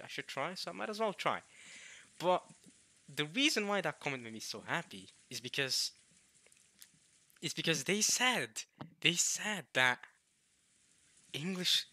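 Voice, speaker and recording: A young man from Norway, chatting casually to viewers in a halting way, restarting words often with chuckling self-deprecation. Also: a little high, lively intonation, pushed and bright. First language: Norwegian. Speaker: visual novel let's player.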